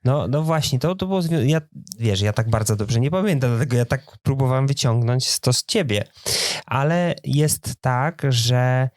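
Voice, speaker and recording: A man talks casually as a podcast co-host. His voice sits at a medium pitch, and the recording is dry with hardly any room sound. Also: animated, moderate pace, a little mellow, dry recording. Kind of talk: casual chat.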